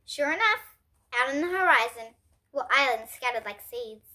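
High-pitched, bright young girl reading a storybook. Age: child. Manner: expressive, deliberate reading with dramatic pauses. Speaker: young host reading children's books aloud. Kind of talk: storybook read-aloud.

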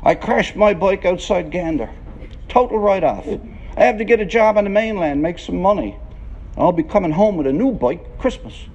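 Man reading as a blunt, high-pitched lovelorn biker. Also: animated, moderate pace, a little mellow, highs cut off. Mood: wistful. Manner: blunt, colloquial, emphatic. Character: tall lanky lovelorn biker, frank and questioning.

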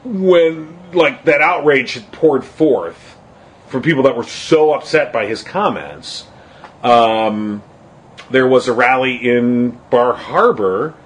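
A man's voice: mid-range voice, lively intonation, slow, low-quality recording. A man co-hosting a casual political podcast, talking with many fillers and hesitations. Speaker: podcast co-host.

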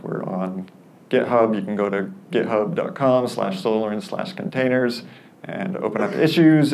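A man's voice: on the low side, creaky, darkish tone, slight background noise. A man in his thirties giving a friendly, upbeat lightning talk at a conference, demoing a free tool with some self-deprecating humor, on a headset mic in a room with an audience. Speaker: conference speaker. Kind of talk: lightning talk product demo.

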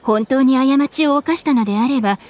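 A woman playing a calm, polite townswoman. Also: sits high, a little fast, close to an everyday voice. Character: polite, composed young townswoman. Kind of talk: video game voice acting.

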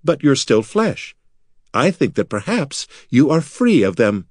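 A man voicing a brisk, assured, kindly but bossy woman wizard in a fantasy audiobook, with crisp, testy delivery. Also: medium pitch, very animated, a little mellow, no room sound, studio quality, pitched above the performer's own voice. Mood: thoughtful.

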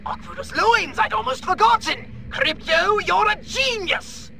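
An actor playing a whiny, excitable alien scientist who is only a floating head, with a reedy, fussy voice in a video game cutscene. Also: male voice, very high voice, measured pace, vocal fry, full character voice. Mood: excited. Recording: game music behind.

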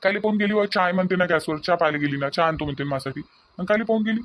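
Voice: medium pitch, racing, close to an everyday voice. A performer voicing a mild middle-aged village husband in a comic cartoon, chiding and placating in a Varhadi Vidarbha accent. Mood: gently chiding. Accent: Varhadi Marathi of Vidarbha.

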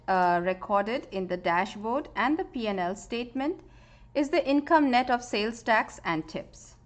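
A woman giving a screen-recorded spreadsheet tutorial, explaining step by step in a calm voice with frequent "uh" fillers. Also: medium pitch. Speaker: software tutorial presenter.

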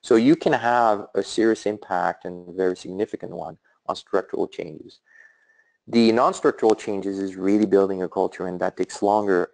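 A middle-aged man with a European accent presents in a webinar, his voice deep and gravelly. He speaks thoughtfully and haltingly, with plenty of "uh"s and self-corrections. The sound is close and dry. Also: darkish tone, no room sound, low-quality recording. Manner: thoughtful, halting, with frequent fillers and restarts. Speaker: academic leader presenting.

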